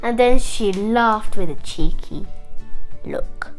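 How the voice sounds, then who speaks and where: A woman hosting a children's story show, telling a tale in an expressive, chatty way and addressing the listener. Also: medium pitch, takes it slowly, soft background music. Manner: animated, chatty, asks the listener questions.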